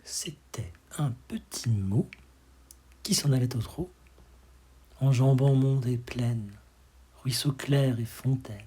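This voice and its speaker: A man with a breathy, husky voice reciting poetry expressively, in measured dramatic phrases that often sink to a hush. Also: medium pitch. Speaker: poetry reader.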